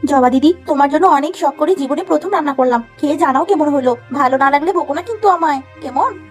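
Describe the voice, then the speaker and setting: A woman voicing a vain, selfish younger sister who talks in a shrill, bossy, scornful way. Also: fast talker, soft background music, lightly acted. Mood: sugary.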